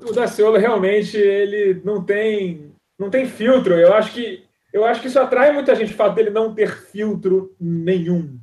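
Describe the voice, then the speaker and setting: A man's voice: high voice, normal speed, hardly any pauses, a little mellow. A man with a Brazilian accent chatting casually as a podcast panelist, in a roomy, echoing recording. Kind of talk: casual chat.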